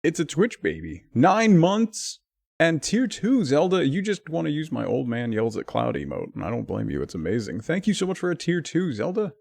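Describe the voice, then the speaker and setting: A man streaming live, chatting casually with his viewers and thanking them by name, his talk full of lively swings up and down. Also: on the high side, moderate pace, vocal fry.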